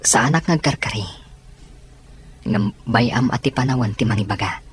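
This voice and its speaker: An actor playing a sincere, patient young suitor, speaking earnestly in an Ilocano radio drama. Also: male voice, medium pitch, moderate pace, slightly rough. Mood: earnest, reassuring.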